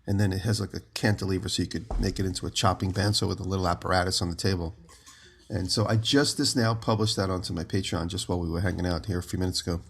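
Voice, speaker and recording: A man with a lowish voice, still groggy and a little deeper than normal after waking up early. He rambles loosely through a story over a remote call from his home. His delivery is relaxed and chatty. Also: quick pace, vocal fry, slightly rough. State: just woke up, voice lower than usual. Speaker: podcast co-host. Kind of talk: casual chat.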